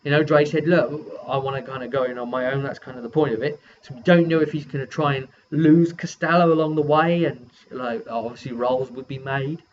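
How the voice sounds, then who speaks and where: A man casually recapping a tabletop role-playing campaign as its game master, acting out what the characters said in an animated way. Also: medium pitch, brisk, few pauses, dull audio, slight background noise. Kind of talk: casual vlog storytelling.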